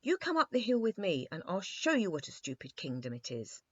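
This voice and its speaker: A woman's voice: mid-range voice, huge pitch swings, a little fast, fairly bright tone, no room sound, muffled recording, pitched above the performer's own voice. A woman voicing a petulant, haughty little boy king in a children's storybook reading. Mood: exasperated. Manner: cross, haughty, complaining.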